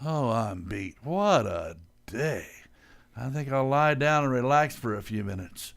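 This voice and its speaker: A man's voice: medium pitch, animated, measured pace, creaky. A man playing a scheming, hapless, middle-aged working-class father in old-time radio comedy, blustering and easily flustered. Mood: weary. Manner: flustered, stammering, exclamatory. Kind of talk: 1940s radio comedy acting.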